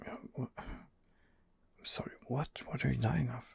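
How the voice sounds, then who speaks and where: A man doing softly murmured ASMR game roleplay, breathy and husky, with mild, hesitant, unhurried replies close to the microphone. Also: medium pitch. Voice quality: breathy, husky, half-whispered. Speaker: gamer role-playing as his character.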